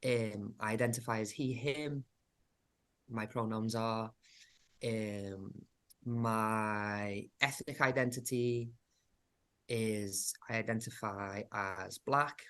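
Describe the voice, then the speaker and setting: A man with a Liverpool accent, a community arts director giving a thoughtful, halting talk over a video call with many pauses and fillers. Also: fairly low pitch, steady tone, fairly bright tone. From England. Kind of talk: online presentation talk.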